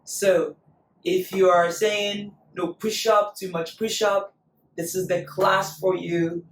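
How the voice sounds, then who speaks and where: A woman teaching a yoga class, calmly cueing breath and poses, her voice still recovering after being lost. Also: fairly low pitch, slow, a little hiss or hum. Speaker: yoga instructor. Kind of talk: guided yoga class instruction. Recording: echoey room, voice from a distance.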